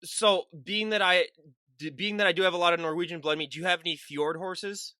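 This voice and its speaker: A man's voice: sits high, steady tone, fairly quick, bright, forceful tone, dry recording, clean studio sound. A young man from Minnesota hosting a podcast, chatting casually and rambling with many restarts. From Minnesota, USA. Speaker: podcast host. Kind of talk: casual interview chat.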